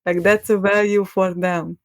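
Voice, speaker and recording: A woman's voice: medium pitch, little pitch movement, measured pace, no echo, clean studio sound. Young Hungarian-accented woman, rambling. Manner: rambling, hesitant, laughs often between thoughts. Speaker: podcast guest. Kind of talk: casual conversation.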